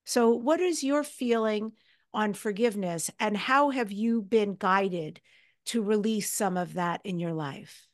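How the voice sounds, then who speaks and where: A woman's voice: medium pitch, a little slow. An American woman speaking in a reflective, candid, conversational way as a podcast host interviewing a guest.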